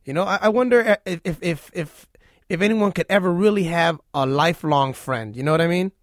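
An American man with a fairly high-pitched voice hosts a radio conversation. He talks casually in slang, doubling back on words as he forms his thoughts. The studio sound is dry and close. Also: fairly quick, no room sound. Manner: conversational, repeating words while forming questions. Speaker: radio host interviewing a caller.

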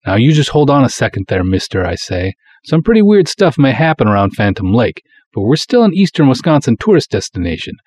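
Husky man acting a folksy pulp lake cop. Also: medium pitch, big pitch swings, brisk, hardly any pauses, a little mellow, dry recording, pitched above the performer's own voice. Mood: proud, folksy. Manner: breezy, colloquial, hard-boiled wisecracking delivery. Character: proud, folksy small-town male lake cop, pulp detective narrator. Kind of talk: dramatic first-person pulp story narration.

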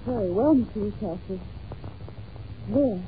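An actress playing a timid, well-mannered young governess in an old-time radio drama, speaking in a breathless, polite, melodramatic way. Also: female voice, mid-range voice, expressive, unhurried, natural voice. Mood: meek, anxious. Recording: muffled noisy old radio recording.

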